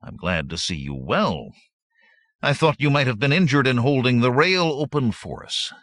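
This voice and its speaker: A man's voice: mid-range voice, sing-song, moderate pace, fairly bright tone, no echo, studio quality, pitched above the performer's own voice. A man voicing a confident, shrewd barbarian warrior in an audiobook, speaking assertively. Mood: hearty.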